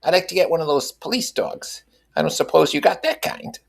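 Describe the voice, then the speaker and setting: A man's voice: on the high side, lively intonation, fairly quick, gravelly, pitched above the performer's own voice, heavily acted. A man voicing an eager, haughty woman in a novel read aloud, gushing and pushy. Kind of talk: audiobook character reading.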